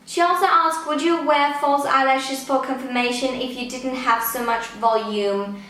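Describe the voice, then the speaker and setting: A woman's voice: high voice, fairly bright tone, heavy reverb. A woman of twenty-one, answering viewer questions in casual chat, with many "um" and "like" fillers. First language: Danish. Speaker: vlogger.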